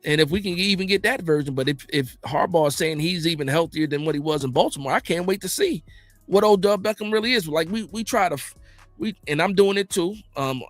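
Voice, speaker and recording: An American man hosting a casual football livestream, reading out viewer comments and reacting in slangy, animated, informal talk. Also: a little high, no echo. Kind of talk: casual fan chat about football.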